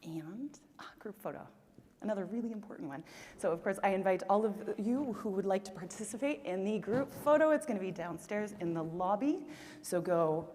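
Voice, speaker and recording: A woman addresses a conference audience from the stage, encouraging and lightly laughing. Her voice sits at a middle pitch and carries a long reverb from the large hall. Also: lively intonation, brisk, warm tone. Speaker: event host.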